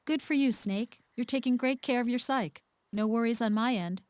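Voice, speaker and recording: A woman playing a caring but firm counselor in a video game, explaining things with gentle insistence. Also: a little high, normal speed, dry recording, close to an everyday voice. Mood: friendly.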